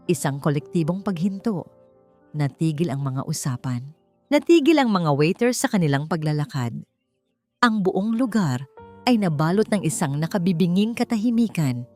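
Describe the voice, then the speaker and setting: A woman reading a scripted dramatic audiobook story in a measured manner, with dramatic pauses. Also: on the low side, darkish tone. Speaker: audiobook narrator. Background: background music.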